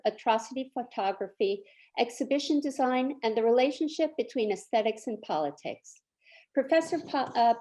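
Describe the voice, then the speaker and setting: A woman's voice: a little high, dark tone, low-quality recording. A woman reading a formal, polite introduction of a guest speaker at an educational event.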